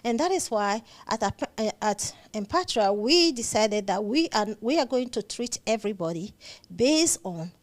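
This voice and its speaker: A woman's voice: fairly high pitch, animated, normal speed. A woman with a Nigerian accent speaking as an interview guest, earnest and emphatic, often repeating phrases to stress them. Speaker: psychiatric nurse practitioner, interview guest. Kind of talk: conversational interview.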